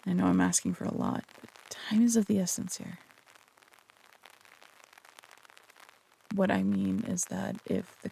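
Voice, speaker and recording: A performer acts out a charming phantom thief in a roleplay audio drama, teasing and flirting at close quarters. The voice is heavily creaky and husky, with lively swings in pitch. The delivery is coy and casual, with an earnest, hesitant streak beneath the playfulness. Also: heavily acted, poor audio. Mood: earnest, pressing. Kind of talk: roleplay audio drama, intimate one-sided dialogue.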